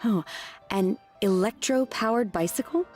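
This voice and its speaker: An actress playing a calm, earnest young female disciple in an anime game, polite and measured. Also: medium pitch, faint music behind, lightly acted. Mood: storytelling. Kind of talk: anime video game voice acting.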